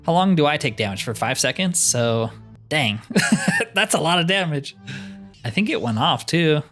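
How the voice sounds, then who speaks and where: A man's voice: a little high, big pitch swings, moderate pace, a bit bright, soft background music. A man giving casual game commentary, thinking aloud in an easygoing way. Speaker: gamer narrating own roleplay playthrough.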